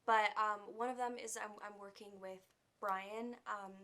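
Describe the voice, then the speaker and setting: Young adult woman, soft-spoken, candid interview guest, casual phrasing. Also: medium pitch, steady tone, a little slow. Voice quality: soft, quiet voice. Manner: open, candid, uses fillers like "like" and "um". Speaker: actress, guest.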